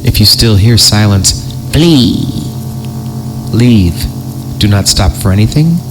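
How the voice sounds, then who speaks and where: A man's voice: on the low side, expressive, slow, background noise. A man hosting a podcast, reading creepypasta horror stories in a comedic dramatic style with wry joking asides, over music.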